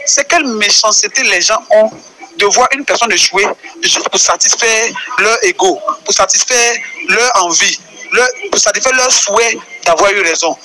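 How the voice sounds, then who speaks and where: An indignant Ivorian man explains at length and keeps asking pointed rhetorical questions. His voice is high and bright for a man. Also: moderate pace, slight background noise, poor audio. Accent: Ivorian French. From Côte d'Ivoire. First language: Baoulé. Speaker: caller. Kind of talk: explaining a controversy in a voice message.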